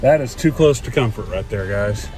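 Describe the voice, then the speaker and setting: A man's voice: on the low side, expressive, normal speed. American man vlogging, music behind. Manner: rambling, thinking aloud. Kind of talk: casual vlog chat. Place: inside a car while driving. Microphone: camera or phone on the dashboard.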